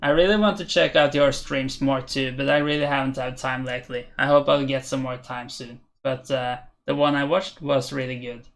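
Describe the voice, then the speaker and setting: A man's voice: mid-range voice, steady tone, normal speed, hardly any pauses, fairly bright tone. A young man in his twenties from Norway, with a Norwegian accent, chatting hesitantly and thinking aloud as he streams chess and answers viewers. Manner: hesitant, thinking aloud while reading chat. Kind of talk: casual live-stream commentary.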